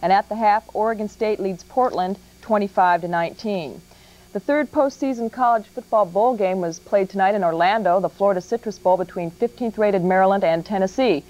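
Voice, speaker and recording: A woman's voice: mid-range voice, brisk, darkish tone. A woman with an American accent reading sports scores as a scripted TV news anchor. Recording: muffled old TV broadcast tape.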